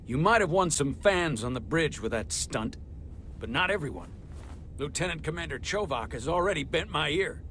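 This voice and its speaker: An actor playing a stern, proud starship captain in a video game, formal and commanding in manner. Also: male voice, on the high side, expressive, moderate pace, a bit bright, slight background noise. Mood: measured, conceding. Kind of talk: dramatic video game acting.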